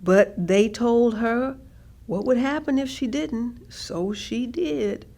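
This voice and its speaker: Woman acting higher-voiced, earnest storytelling grandmother. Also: slow pace, dark tone. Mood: earnest, reflective. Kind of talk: staged reading of oral history.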